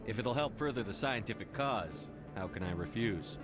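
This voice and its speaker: An actor playing a tough, terse, no-nonsense military commander in a video game. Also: male voice, lowish voice, animated, moderate pace, over music, close to an everyday voice. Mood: dry, composed. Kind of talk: video game character acting.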